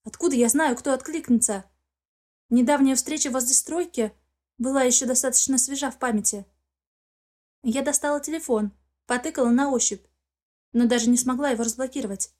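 A woman narrating a first-person novel as an expressive, scripted audiobook reading. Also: sits high, normal speed, pauses often.

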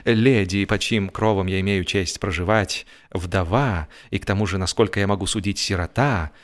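A man voicing a mock-solemn gentleman traveller in a comic storybook, pompous and elaborately polite. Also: sits low, moderate pace, close to an everyday voice. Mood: pompously polite.

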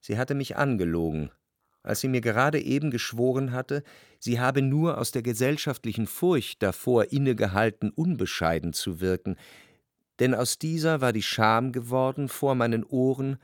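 A man's voice: medium pitch, animated, normal speed, no echo, studio quality, close to an everyday voice. An actor playing the introspective narrator of a literary radio drama, a tormented, jealous lover who reflects precisely and expressively on his past. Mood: wounded, analytical. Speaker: first-person narrator.

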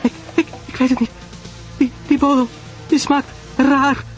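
A man's voice: very high voice, little pitch movement, measured pace, soft background music, pitched above the performer's own voice. A man voicing a glamorous, theatrical married woman who sighs and gasps in an audio drama. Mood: gasping, frightened. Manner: theatrical, sighing, gasping. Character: breathy swooning glamorous wife, poisoned and gasping.

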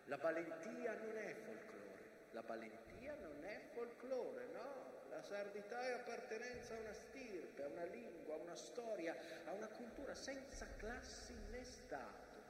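A stage actor playing a proud, brooding mountain that remembers a war, declaiming emphatically with long dramatic pauses. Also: male voice, high-pitched, big pitch swings. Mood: fierce, proud. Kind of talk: theatrical stage monologue in rhyming prose. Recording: echoey hall from far off, noisy.

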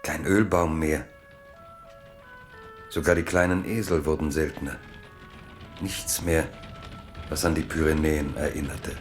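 Very deep, contemplative narrator over music. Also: male voice, fairly even intonation. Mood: reflective. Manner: measured, contemplative. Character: reflective storyteller of a historical tale. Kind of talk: scripted literary narration in a German radio play.

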